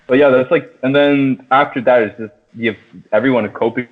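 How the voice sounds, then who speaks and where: Male podcast host, mid-pitched, slangy and sweary, informal film chat, narrow telephone-like audio. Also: steady tone, a little fast. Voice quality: slangy, profane. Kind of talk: casual chat, film discussion. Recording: tinny phone-like sound.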